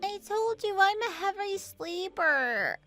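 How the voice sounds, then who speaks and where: Sleepy whiny mistress, voiced by a woman, very high. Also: expressive, takes it slowly, bright, forceful tone, heavily acted. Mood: drowsy, whiny. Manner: drowsy whining. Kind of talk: dramatized audio story acting.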